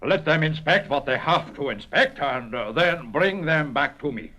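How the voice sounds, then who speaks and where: An actor playing a suspicious, curt ship's captain giving orders in a vintage radio thriller. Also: male voice, fairly high pitch, lively intonation, moderate pace, pushed and bright. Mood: curt, wary. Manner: curt, hesitant, authoritative. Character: gruff, suspicious ship's captain demanding papers.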